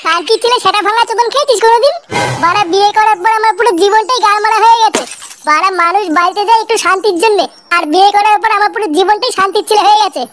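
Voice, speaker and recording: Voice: very high-pitched, racing, music in the background. A performer voicing a foul-mouthed, irritable, henpecked husband who grumbles constantly in a comic cartoon. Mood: grumbling.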